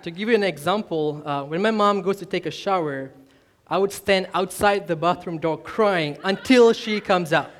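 Earnest young man from Malaysia preaching. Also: high-pitched. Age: 20s. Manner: earnest, self-deprecating, admits nervousness. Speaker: youth minister preaching. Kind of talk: personal testimony sermon.

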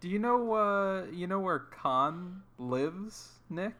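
A man's voice: high-pitched, expressive, takes it slowly, sharp tone. A young adult man co-hosting a podcast, joking in casual chat and often restarting his words. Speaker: podcast co-host.

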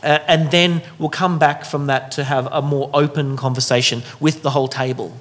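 A man's voice: fairly high pitch, fairly even intonation, moderate pace, a bit bright. A man hosting a dinner discussion, talking loosely and casually with many fillers and restarts. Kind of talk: casual welcoming talk to a group.

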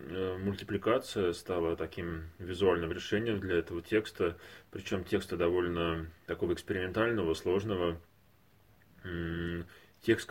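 A man co-hosting a podcast, discussing reflectively and conversationally, analytical and often searching for words. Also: sits low. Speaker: podcast co-host.

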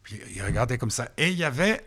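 A man's voice: mid-range voice, sing-song, fast, sharp tone, husky. A man hosts a radio chat in a mid-range voice. He teases his guests and jokes freely, often cutting in while others speak. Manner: teasing, joking, talks over others. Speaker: radio host.

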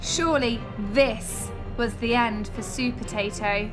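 Woman, children's story narrator, expressive, slightly high voice, slow pace, clear music behind.

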